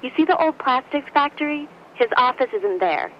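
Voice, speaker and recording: High-voiced woman over radio. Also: expressive, normal speed, dull audio, slight background noise. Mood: concerned, curious. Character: breezy, watchful female ally on the radio. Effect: two-way radio. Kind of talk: video game cutscene acting.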